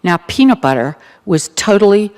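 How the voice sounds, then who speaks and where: An older woman with a Baltimore accent, the keynote speaker, recounting her family's past in an earnest, reflective talk with gentle humor. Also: mid-range voice, very animated, normal speed. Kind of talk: personal testimony talk.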